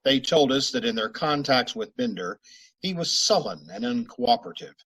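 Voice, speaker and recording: An actor playing a terse, deadpan police sergeant who speaks in a clipped, matter-of-fact manner, in an old-time radio drama recreation. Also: male voice, mid-range voice, normal speed, husky.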